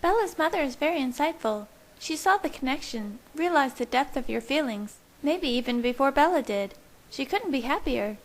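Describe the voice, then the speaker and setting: Woman acting a high-pitched, bubbly young vampire sister. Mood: cheerful. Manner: excitable, brisk, emphatic. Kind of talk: audiobook character voice.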